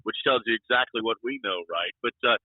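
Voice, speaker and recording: American sportscaster on a tinny phone line. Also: male voice, fairly low pitch, brisk, no room sound. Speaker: radio play-by-play sports announcer. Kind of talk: interview answers, conversational sports talk. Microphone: phone or remote call.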